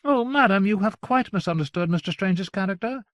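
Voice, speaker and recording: A man doing a gushing, fawning gentleman. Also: high-pitched, brisk, no room sound, highs cut off, pitched above the performer's own voice. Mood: flattering. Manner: effusive, flattering, exclamatory.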